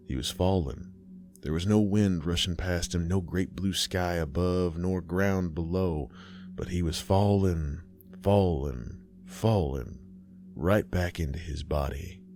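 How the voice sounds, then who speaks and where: A man narrating a horror story in a slow, grim, deliberate way. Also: low voice, darkish tone, a little hiss or hum.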